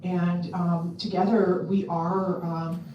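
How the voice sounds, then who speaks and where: A woman with a loud voice giving a casual talk to a convention audience, chatty and full of ums and restarts. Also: lowish voice, level tone, takes it slowly, muffled recording, a little hiss or hum. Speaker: convention speaker. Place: convention hall. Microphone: handheld mic on a stand. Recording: echoey hall, mic at a distance.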